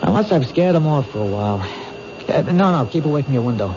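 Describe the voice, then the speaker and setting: A man's voice: mid-range voice, expressive, fast talker, lightly acted. A man playing an earnest, dogged police detective in 1970s radio drama, speaking with urgent insistence. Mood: intense, reasoning. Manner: urgent, insistent, reasoning things out aloud. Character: earnest, dogged male police detective protecting a threatened woman. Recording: noisy, dull 1970s radio recording.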